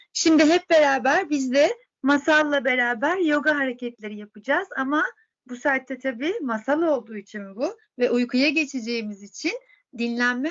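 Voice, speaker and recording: A woman's voice: high-pitched, muffled recording. A woman teaching yoga to children, guiding relaxation with encouraging, repeated questions. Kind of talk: guided relaxation instruction for children.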